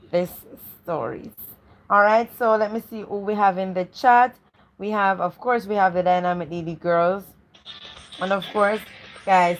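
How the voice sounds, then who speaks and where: A woman co-hosting a read-aloud show, welcoming and chatting to viewers in a repetitive way. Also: lowish voice. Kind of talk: welcoming live-stream presenting.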